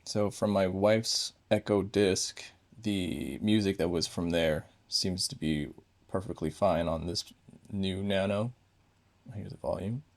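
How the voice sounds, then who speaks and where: A man's voice: deep voice, steady tone, takes it slowly. A man giving a casual, unscripted hands-on gadget review, thinking aloud in short fragments.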